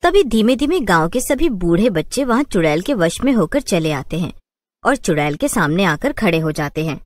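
A woman's voice: medium pitch, big pitch swings, moderate pace. A woman storyteller reading scripted narration for a children's cartoon tale.

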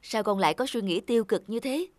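A woman playing a gentle mother, soothing and concerned, in an animated cartoon. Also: a little high, quick pace, dry recording, close to an everyday voice. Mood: soothing, worried. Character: gentle, worried mother comforting her daughter.

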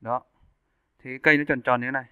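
A man giving a casual, step-by-step software tutorial as an animation instructor. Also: medium pitch, little pitch movement, quick pace, clear, resonant tone, no room sound. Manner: informal step-by-step explaining. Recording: muffled narrowband phone-like audio.

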